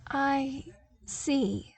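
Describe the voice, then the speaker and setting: A woman's voice: sits high, extremely slow, creaky, voice pushed up, heavily acted. A woman voicing an earnest, bewildered young male engineer who asks questions hesitantly in an audiobook drama. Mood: overwhelmed.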